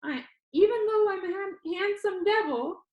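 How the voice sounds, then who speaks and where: A woman's voice: very high voice, measured pace, darkish tone, muffled recording, voice pushed up. A woman voicing a cheerful, jokey yet sincere teenage boy video-maker in a novel read aloud. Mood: earnest. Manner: exuberant, playful, earnest. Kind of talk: children's novel read-aloud, character dialogue. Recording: echoey room.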